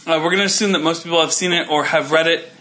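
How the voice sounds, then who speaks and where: A man's voice: on the high side, steady tone, very fast, pushed and bright. A man in his thirties co-hosting a podcast, rambling with lots of fillers and restarts. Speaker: podcast co-host. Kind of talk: casual chat.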